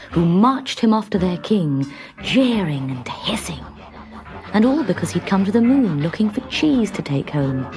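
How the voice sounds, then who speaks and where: Low voiced lady reading a kids story, super expressive n dramatic, music behind. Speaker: storybook narrator. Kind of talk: scripted children's story narration.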